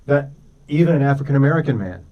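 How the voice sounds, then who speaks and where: A man's voice: mid-range voice, expressive, fast talker, recorded from a distance, muffled recording. A man co-hosting a radio show with casual, conversational commentary, often checking in with his listener through rhetorical questions. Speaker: morning radio co-host.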